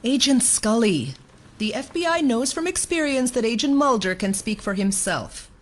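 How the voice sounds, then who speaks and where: A woman's voice: fairly high pitch, lively intonation, moderate pace. Stern, commanding female bureau boss. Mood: stern, sardonic. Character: stern female FBI assistant director, exasperated, authoritative, sardonic. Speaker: senior FBI official. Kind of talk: audio drama acting.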